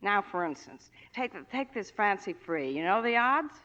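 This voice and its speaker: An actress playing a needy, chatty, tipsy gangster's moll who rambles and hesitates, in 1940s radio drama style. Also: female voice, medium pitch, very animated, normal speed, pushed and bright. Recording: dull vintage 1940s radio recording.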